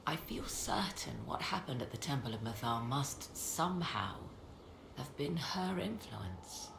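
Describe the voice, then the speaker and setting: A woman voicing a proud, guarded sorceress in a video game, with formal, deliberate, theatrical phrasing. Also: a bass voice, big pitch swings, gravelly, exaggerated voice. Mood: resigned, bitter. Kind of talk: dramatic video game dialogue. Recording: echoey, noisy game scene capture.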